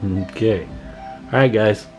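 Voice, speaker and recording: A man with a fairly low voice talks casually through a hands-on demonstration, with plucked guitar music clearly playing behind him. He comes across as an informal, folksy hobbyist host speaking straight to his viewers. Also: slow, rough recording. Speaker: radio repair hobbyist and video host.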